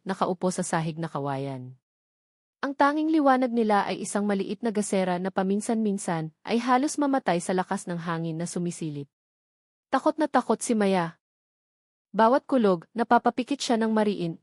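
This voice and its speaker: A woman narrates an audio romance in a scripted, dramatic storytelling style. Her pitch sits in the middle range for a woman. The recording is very dry, with no room sound. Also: a little mellow, no echo.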